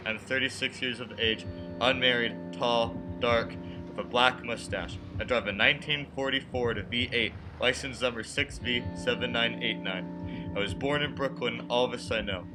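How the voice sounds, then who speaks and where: A man acting a haunted thirty-six-year-old motorist in a radio drama, set over prominent music. He has a medium-pitched voice and tells his story earnestly and deliberately, anxious yet insisting that he is sane. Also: steady tone, close to an everyday voice, poor audio. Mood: tense, confessional. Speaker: lead actor and narrator. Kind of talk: radio play acting, first-person dramatic narration. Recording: music behind the voice.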